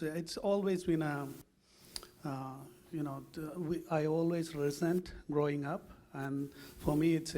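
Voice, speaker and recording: Sri guy on a panel, bit higher voice, stops and restarts a lot. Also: dark tone. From Sri Lanka. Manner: halting, searching for words. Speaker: panelist, filmmaker. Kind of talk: panel discussion.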